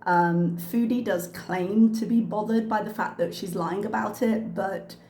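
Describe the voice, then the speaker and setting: A woman's voice: mid-range voice, fairly even intonation, a little slow, dark tone, slight background noise. A woman hosting a reaction commentary channel, talking frankly with frequent ums, her voice a little worn from a cough. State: slightly losing her voice from a cough. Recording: echoey room with faint ambience.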